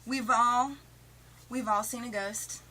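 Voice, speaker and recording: A woman's voice: on the high side, steady tone, slow pace, sharp tone. A woman in her late thirties from West Virginia, chatting and giggling through a casting audition video. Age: 30s. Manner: chatty, giggly, self-described goofball. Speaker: casting applicant.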